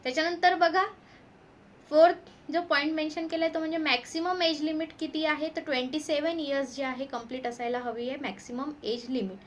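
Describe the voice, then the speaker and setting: A woman's voice: high voice, fairly even intonation, fairly quick, a little hiss or hum. A woman with an Indian accent explaining like a coaching teacher, reading out points and switching between two languages. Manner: code-switches between Marathi and English terms. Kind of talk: explanatory lesson, reading notification points aloud.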